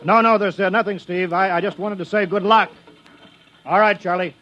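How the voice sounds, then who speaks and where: Tense, high-voiced flight control chief. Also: male voice, steady tone, normal speed, bright, forceful tone. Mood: hesitant, worried. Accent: American. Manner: urgent, commanding, worried. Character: anxious male flight control chief, protective, in charge. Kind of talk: 1950s radio drama acting. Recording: dull, band-limited 1950s radio recording.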